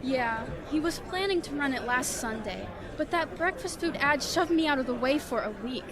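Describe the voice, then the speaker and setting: Teen girl as a brash high-voiced newspaper reporter. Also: lively intonation, moderate pace, a crowd in the background. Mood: wisecracking. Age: teenager. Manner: sarcastic, slangy. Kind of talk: amateur radio play acting.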